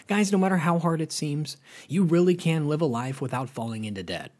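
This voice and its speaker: A man's voice: fairly high pitch, fairly quick. A man with an American accent narrating a finance explainer in a chatty scripted voice-over, talking to viewers as guys. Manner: chatty, addresses viewers as guys, lively rises.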